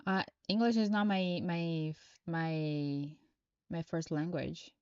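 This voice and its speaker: A woman from Brazil with a Brazilian Portuguese accent, speaking English as a second language as she reads tarot on a live stream. She reads viewers' questions aloud and answers them in an unhurried, halting way. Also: on the low side, steady tone, a little mellow, clear, resonant tone, dry recording, lo-fi sound.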